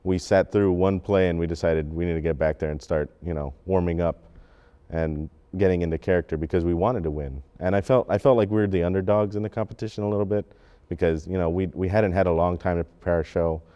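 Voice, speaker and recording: A young man with a very low voice talks casually about his own experience. The tone is dark and soft-edged, with a clean, resonant sound, in a reflective interview style. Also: fairly even intonation, fast, smooth, muffled recording. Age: teens or young adult. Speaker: high school drama cast member.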